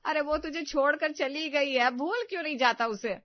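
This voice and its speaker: A woman's voice: high-pitched, moderate pace, no echo, muffled recording, pitched above the performer's own voice, lightly acted. A woman voicing a nagging, tearful, overprotective middle-aged mother in a comedic Hindi audio story. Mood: exasperated. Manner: scolding, emotionally blackmailing.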